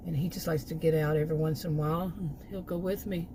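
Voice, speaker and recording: Female, American, lowish voice, exasperated off-the-cuff vlog rant, noisy background. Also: steady tone, fast. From Texas, United States. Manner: exasperated, chuckles between remarks. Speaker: food delivery gig worker, vlogger. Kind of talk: casual rambling vlog rant.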